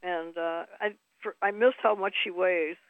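A woman co-hosting a radio health show, giving steady, matter-of-fact advice. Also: lowish voice, a little slow, dry recording. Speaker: co-host advisor. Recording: tinny phone line.

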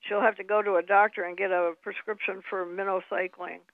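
A woman co-hosting a radio health show, giving steady, matter-of-fact advice. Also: medium pitch, brisk, dry recording. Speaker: co-host advisor. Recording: tinny phone line.

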